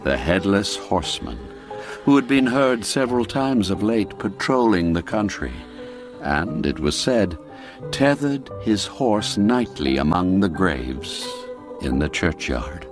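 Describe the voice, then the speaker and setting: A man narrating a classic spooky tale in a measured, expressive storytelling manner. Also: low voice. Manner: measured, expressive storytelling with sweeping rises and falls. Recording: dry studio with faint music.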